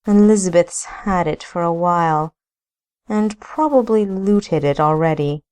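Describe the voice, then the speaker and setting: A woman's voice: lowish voice, unhurried, darkish tone, clean tone, natural voice. A woman voicing a brooding, self-blaming older brother and husband who talks in a ponderous, gloomy way.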